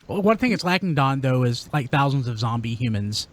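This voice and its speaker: A man's voice: medium pitch, lively intonation, brisk. A man hosting a casual panel chat about toy news in an upbeat, enthusiastic manner. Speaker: podcast host.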